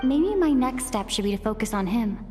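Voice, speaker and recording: A woman voicing a pensive video game character musing deliberately to themself. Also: on the high side, animated, a little mellow, faint music behind, close to an everyday voice. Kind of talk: video game cutscene acting. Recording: long hall reverb effect.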